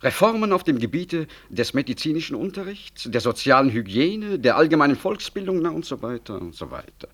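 Husky, grandiose government minister. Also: male voice, on the high side, big pitch swings, a little fast, hardly any pauses, a bit bright. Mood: grandiose, earnest. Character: self-important government minister, genial, grandiose reforming politician. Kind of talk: 1960s German radio drama acting.

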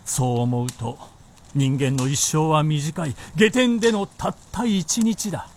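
A man's voice: a little high, a little slow, slight background noise. A man playing an imperious, proud warlord with haughty, archaic lordly speech in a period radio drama.

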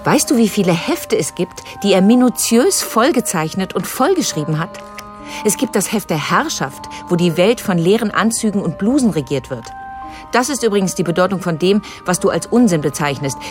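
An actress playing an insistent, probing adult daughter with a pointed manner in a naturalistic radio drama. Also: female voice, mid-range voice, lively intonation, normal speed, a little mellow, faint music behind, lightly acted. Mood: earnest, insistent. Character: insistent, accusing grown daughter digging up family past.

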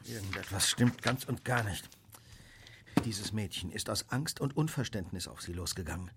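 An actor playing a brisk, courteous, intense gentleman detective in a German radio play. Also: lowish voice, expressive, very noisy. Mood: agitated, puzzled. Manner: courteous, urgent, incisive.

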